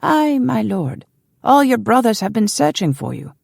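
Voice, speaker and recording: A woman voicing a high-pitched, deferential old manservant. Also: very animated, normal speed, gravelly, darkish tone, no room sound, studio quality, full character voice. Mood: glad, respectful. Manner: deferential, flustered. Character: devoted, chatty old male manservant.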